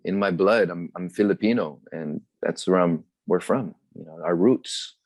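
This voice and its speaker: A young man with a low, deep voice and an American accent chats easily over a video call, dropping bits of Tagalog into his English. The sound is dry and close, with little room echo. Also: moderate pace. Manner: relaxed, mixes Tagalog phrases into English. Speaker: guest performer and entrepreneur. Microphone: video call webcam.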